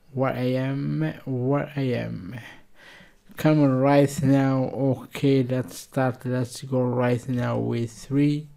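Algerian man, slow and gravelly. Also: mid-range voice, dark tone. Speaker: reaction video host. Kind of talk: casual reaction vlog. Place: small room indoors.

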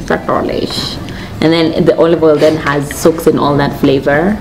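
A woman's voice: sits low, little pitch movement, a little slow, noisy background. A young adult woman with a Kenyan accent, casually chatting as a food vlog host in an informal way. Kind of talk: casual restaurant review chat to camera. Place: indoors at a restaurant table. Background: music.

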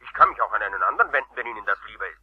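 A man's voice: fairly low pitch, very animated, slightly rough. An actor playing an indignant, formal older male professor in a radio play, heard through a telephone. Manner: formal, brisk, affronted. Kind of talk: German radio play acting. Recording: dull lo-fi telephone sound.

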